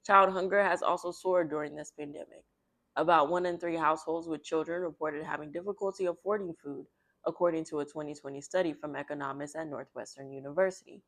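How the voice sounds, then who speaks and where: A woman hosting a children's read-aloud, speaking in an expressive, emphatic storyteller's manner. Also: low voice.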